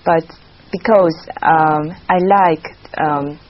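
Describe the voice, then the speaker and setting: Woman, Korean accent, lower pitch, hesitant, fillers, dull lo-fi recording. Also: steady tone, slow. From South Korea. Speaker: exam candidate. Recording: dull lo-fi sound.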